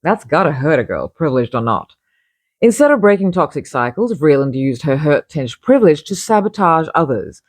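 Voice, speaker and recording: A woman's voice: sits low, darkish tone, no echo. A woman reading her own magazine article aloud, emphatic and opinionated, breaking off for chatty asides.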